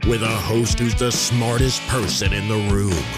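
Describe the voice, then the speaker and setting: A man reading a deadpan scripted comic intro for a podcast. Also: lowish voice, measured pace. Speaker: show intro announcer. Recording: loud guitar music underneath.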